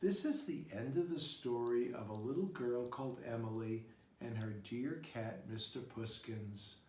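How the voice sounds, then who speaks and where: A man reads a children's story aloud in an expressive way. His voice sits at a middle pitch and he takes his time over the phrases. The room around him echoes noticeably. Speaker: storybook reader. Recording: roomy, echoing sound.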